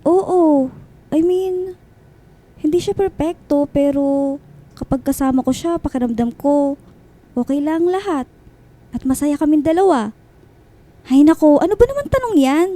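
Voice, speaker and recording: A woman's voice: sits high, animated, unhurried, long pauses, warm tone. A woman with a Filipino accent playing a cheerful, teasing young woman chatting with her best friend in a radio drama. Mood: happy, affectionate. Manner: playful, casual.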